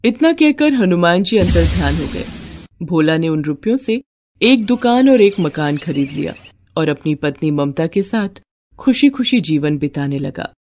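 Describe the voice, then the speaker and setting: Low-pitched woman narrating a moral story. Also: sing-song, measured pace, darkish tone, slight background noise.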